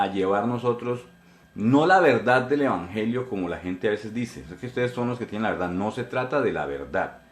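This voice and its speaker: A man's voice: low-pitched, reverberant, slight background noise. Earnest Colombian pastor in his forties. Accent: Colombian Spanish. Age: 40s. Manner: earnest, repetitive, addressing listeners directly. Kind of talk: sermon testimony.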